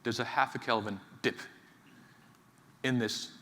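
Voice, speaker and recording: A man from Finland giving a technical conference talk in a large hall, speaking haltingly with many fillers and repeated words. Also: mid-range voice, steady tone, fairly bright tone. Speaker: conference speaker, associate professor. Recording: reverberant hall, faint ambience.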